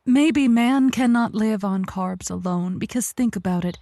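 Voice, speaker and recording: A woman playing an earnest, rambling advice host on an improvised comedy call-in, halting and theatrically emphatic. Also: medium pitch, dark tone, dry recording, clean studio sound, close to an everyday voice. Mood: earnest, persuading.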